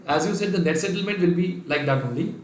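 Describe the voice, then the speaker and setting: A man's voice: sits high, expressive, quick pace. Indian english guy teaching finance live online, calls out viewers by name, echoey room with a bit of hiss in the back. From Kerala, India. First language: Sindhi. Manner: dictates steps, reads out viewers' names and chats to them. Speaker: finance lecturer. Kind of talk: live online revision lecture.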